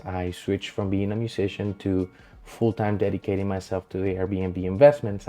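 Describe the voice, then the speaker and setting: A man's voice: lowish voice, warm tone. Easygoing man. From Colombia. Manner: easygoing, earnest, loose filler-heavy delivery. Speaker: real estate agent. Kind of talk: self-introduction vlog. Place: small dim home studio with colored lights.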